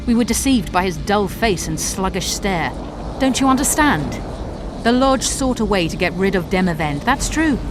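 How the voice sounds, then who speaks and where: Video game role: scheming sorceress played by a woman, imperious and contemptuous, theatrical menace, music clearly underneath. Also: mid-range voice, lightly acted. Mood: cold, scornful. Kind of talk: dramatic video game acting.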